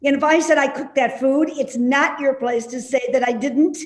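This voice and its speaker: A woman's voice: high-pitched, fairly even intonation, moderate pace, reverberant, close to an everyday voice. A woman acting a cantankerous, stubborn and suspicious older mother in a play reading, with a querulous, scolding manner. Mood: indignant scolding.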